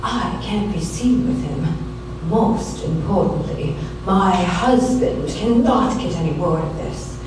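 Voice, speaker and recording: A woman's voice: mid-range voice, expressive, slow. A woman playing a haughty glamorous film actress who fears scandal, in a theatrical, affected noir radio comedy style. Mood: pleading, anxious. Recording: very echoey room, distant mic, clear noise.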